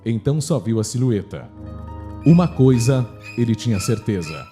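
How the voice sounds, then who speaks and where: A man's voice: medium pitch, sing-song, moderate pace, mellow. A man with a Brazilian accent narrating a scripted horror story for radio drama. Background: faint music.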